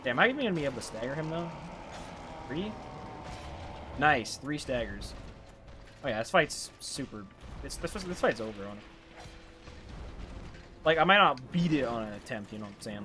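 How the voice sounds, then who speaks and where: A man's voice: mid-range voice, animated, a little fast, pauses often. A man with a Canadian accent giving casual live gameplay commentary, rambling and slangy as he thinks aloud. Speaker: game streamer.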